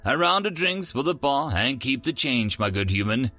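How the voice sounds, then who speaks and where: A man voicing an eager, naive male alien tourist in a storybook reading, over-polite, earnest and excitable. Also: mid-range voice, normal speed, soft background music. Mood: generous.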